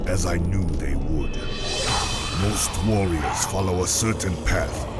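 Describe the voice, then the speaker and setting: A man playing a proud, ruthless warrior villain in a dramatic comic-book monologue, solemn, deliberate and menacing. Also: sits low. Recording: loud music bed. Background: loud dramatic music.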